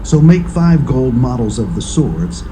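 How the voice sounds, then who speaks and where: An actor playing an ancient Philistine priest giving solemn, declamatory counsel in a dramatised audio Bible. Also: male voice, medium pitch, very animated, measured pace, dark tone, slight background noise, poor audio.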